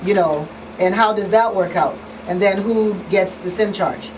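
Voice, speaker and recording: A woman's voice: medium pitch, unhurried. Woman on a distant, noisy mic. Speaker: talk show host and crisis counselor. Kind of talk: earnest conversational discussion. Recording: distant mic, clear background noise.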